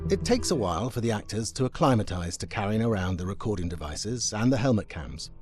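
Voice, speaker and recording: Man, low pitch, animated intonation, scripted behind-the-scenes narration, background music. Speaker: game executive creative director.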